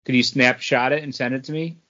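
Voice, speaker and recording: A man's voice: medium pitch, steady tone, brisk, sharp tone. A man co-hosting a podcast and discussing things in a casual, conversational style. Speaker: comics podcast co-host. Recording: dull lo-fi audio.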